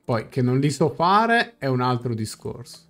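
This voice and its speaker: A young Italian man streams a game from a room at home. He gives casual, medium-pitched commentary and translation, with game music faintly behind him. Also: big pitch swings, measured pace, fairly bright tone. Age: young adult.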